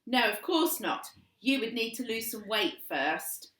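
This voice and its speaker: A woman reading a story aloud, voicing a spoiled, taunting little sister with a high, sing-song voice. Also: animated, unhurried, fairly bright tone. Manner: sing-song, teasing, whiny fake crying. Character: bratty spoiled little girl, gleeful and taunting. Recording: roomy sound from a distance.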